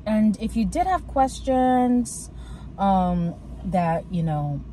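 A woman's voice: mid-range voice, animated, slow, dark tone, rough recording. A woman in her twenties talking casually to camera from a parked car, reflective and full of fillers and restarts. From United States. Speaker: vlogger. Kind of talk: casual personal talk to camera. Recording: car interior with clear road noise.

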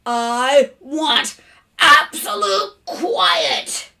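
A woman's voice: high-pitched, animated, extremely slow, bright, forceful tone, voice pushed up, full character voice. A woman voicing an angry, grumpy father in a children's storybook, emphatic and indignant. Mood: bellowing. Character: angry, exasperated grumpy father, adult man. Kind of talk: children's storybook read-aloud.

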